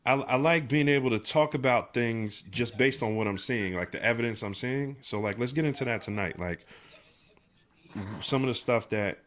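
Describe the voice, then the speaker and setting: A man's voice: medium pitch, moderate pace, fairly bright tone. A man hosting a live stream in casual chat, nasal from a sinus infection, laid-back and slangy, with lots of fillers and restarts. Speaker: livestream host.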